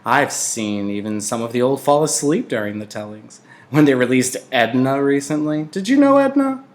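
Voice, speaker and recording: A man's voice: medium pitch, normal speed, voice pushed up, close to an everyday voice. Man voicing a chatty, gossipy old woman. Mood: chatty, prim. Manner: confiding, animated storytelling.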